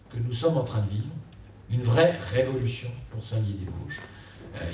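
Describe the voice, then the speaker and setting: A man giving a political speech as a local elected official, measured and emphatic, repeating key phrases for stress. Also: medium pitch, darkish tone. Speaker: local elected official addressing a town council. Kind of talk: political speech at a council meeting. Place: council chamber, indoors. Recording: echoey room, distant mic, faint background noise.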